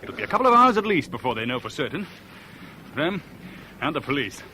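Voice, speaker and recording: An actor playing a tense, clipped, commanding escape organiser in a 1970s radio thriller. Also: male voice, fairly high pitch, very animated, pushed and bright, full character voice. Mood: brisk, planning. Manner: brisk, insistent questioning. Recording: noisy old radio drama recording.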